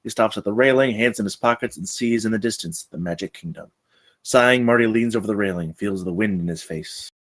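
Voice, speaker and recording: Quick-reading male script narrator. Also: on the low side. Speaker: narrator reading stage directions. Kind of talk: scripted narration at a table read.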